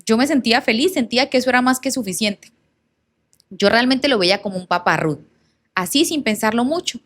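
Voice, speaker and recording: A woman's voice: fairly high pitch, expressive, fairly quick. A woman narrating and reading a personal storytime in a conversational way, with asides to her viewers. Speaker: content creator reading followers' stories.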